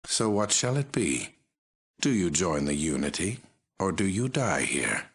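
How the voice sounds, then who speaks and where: An actor playing a grandiose, menacing mutant overlord in a video game, pompous and commanding. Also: male voice, low-pitched, very animated, measured pace, fairly bright tone, husky, full character voice. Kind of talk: video game villain dialogue.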